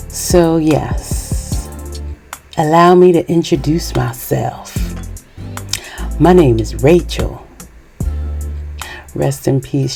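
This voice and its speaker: A woman podcast host, born in Haiti and raised in New York, giving a measured, earnest personal monologue. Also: low voice, vocal fry, mellow.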